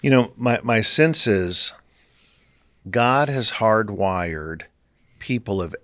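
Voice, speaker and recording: A man's voice: on the low side, slow pace, no room sound, clean studio sound. A middle-aged man from Northern California speaking as a ministry leader in a casual, earnest interview. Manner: earnest, animated storyteller.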